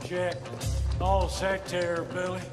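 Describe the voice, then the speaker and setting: A folksy Southern rebel leader, drawls in a fairly high man's voice. He is wry and rallying in a game cutscene, echoing through a hall over loud music. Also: completely flat delivery, slow pace. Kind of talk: video game cutscene acting.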